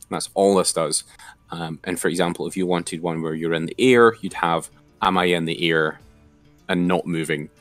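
A man's voice: sits low, a bit bright, dry recording. A man giving an informal, step-by-step screen-share tutorial as an instructor.

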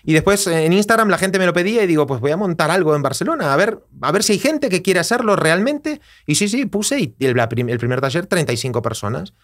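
A man in his thirties with an Argentine Spanish accent, a podcast guest telling his story in a casual, animated way. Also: high voice, quick pace, hardly any pauses. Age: 30s. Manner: animated storyteller, gestures while talking, acts out quoted dialogue. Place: small studio with acoustic panels. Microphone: dynamic mic on desk stand, headphones.

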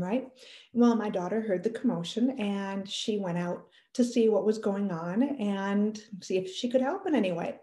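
A woman in her thirties telling a family story to camera in a casual, animated way. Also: medium pitch, echoey room. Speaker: parenting educator. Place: small room indoors.

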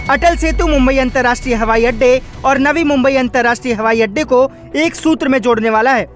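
A woman reading a scripted news voice-over with punchy, emphatic headline delivery. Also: high voice. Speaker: news narrator.

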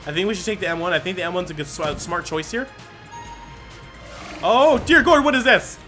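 A man giving let's-play commentary, thinking aloud and bursting into excited exclamations. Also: high-pitched, fairly quick. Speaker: gaming commentator. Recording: game music under the voice. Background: game music.

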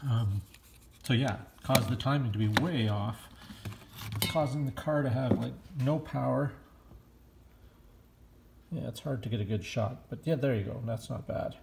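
A man's voice: medium pitch, unhurried, gravelly, long pauses. Scratchy-voiced man explaining a car repair. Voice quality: scratchy voice from smoke. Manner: rambling, filler-heavy explaining while handling parts. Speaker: home mechanic vlogger. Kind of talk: casual garage walkthrough. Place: indoor garage workshop. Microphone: phone camera held while filming.

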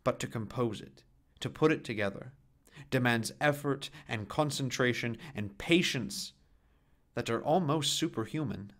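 Radio play, male actor as grave, thoughtful man: measured monologue, halting weighty phrases, middle pitch. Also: a bit bright, close to an everyday voice. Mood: grave, pondering. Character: grave, thoughtful man recounting a woman's dilemma.

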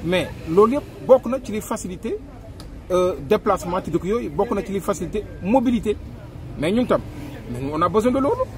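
A middle-aged man with a Senegalese accent giving his opinions in a street interview, animated and talking with his hands, recorded close on a handheld mic outdoors by a busy road. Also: high voice, a little fast. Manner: animated, gestures with hands, mixes two languages. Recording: street traffic noise behind.

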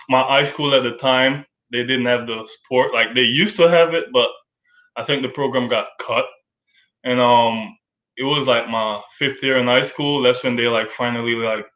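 Young jamaican guy, laid back, says like a lot, bright voice, echoey room. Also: medium pitch, highs cut off. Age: young adult. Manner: relaxed, lots of "like" fillers. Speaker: college basketball player, guest. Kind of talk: casual interview.

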